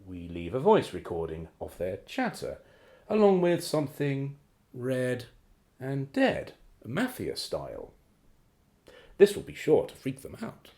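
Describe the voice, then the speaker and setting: Scheming radio-play henchman, deferential and calculating, mid pitch with extremely animated swings. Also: measured pace. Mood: sly scheming. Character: deferential, scheming male fixer with criminal contacts.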